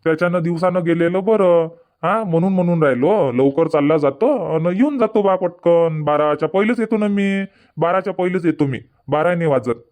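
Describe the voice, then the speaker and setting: A man's voice: a little high, moderate pace, a little mellow, natural voice. A man voicing a stubborn middle-aged village farmer husband in a comic cartoon, grumbling back. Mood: arguing. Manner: grumbling, placating, arguing back. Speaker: cartoon voice actor.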